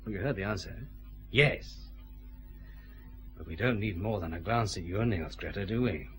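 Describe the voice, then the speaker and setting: An actor with a Scottish accent playing a shrewd, methodical detective chief inspector who lays out his accusations deliberately in a radio drama. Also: deep voice, soft background music, lightly acted. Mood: stern, triumphant. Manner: measured, accusing, deliberate interrogation.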